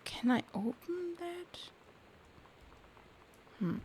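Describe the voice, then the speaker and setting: A woman doing casual livestream game commentary, reading on-screen text aloud in a halting, puzzled way, muttering guesses as she goes. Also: very high-pitched, animated, darkish tone, a little hiss or hum. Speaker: game streamer.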